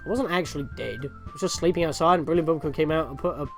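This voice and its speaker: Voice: mid-range voice, rapid-fire, soft background music, pitched below the performer's own voice, lightly acted. Player putting on a nonchalant anime novel character. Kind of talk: visual novel read-aloud.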